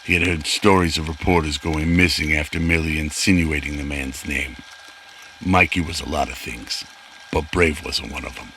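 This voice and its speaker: A man's voice: very deep voice, steady tone, normal speed, bright, forceful tone, slight background noise. A man narrating a scripted audio drama as its storyteller.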